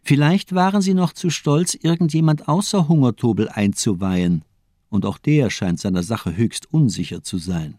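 A man playing a cold, cynical, calculating murderous doctor in a German radio crime drama, eloquent and deliberate, with coolly ironic phrasing. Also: medium pitch, lively intonation, mellow, no echo, studio quality, natural voice. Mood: coolly analytical.

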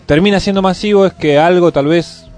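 A man's voice: sits high, brisk, darkish tone. A man with an Argentine accent hosting a radio music show, commenting in a conversational way. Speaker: radio host.

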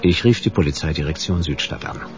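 An actor playing a laconic, sardonic private detective in a German radio drama, dry and deadpan in narration and questioning. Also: male voice, rumbling low, fairly quick, over music. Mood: wry narration.

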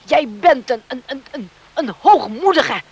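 A protective, middle-aged mother in a radio drama. Her voice is high and very animated, with slow, deliberate pacing. She scolds in plain, emphatic words, full of indignation. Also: husky, heavily acted. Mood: indignant, defiant. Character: indignant, protective island mother confronting her son's would-be father-in-law. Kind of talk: Dutch radio drama acting.

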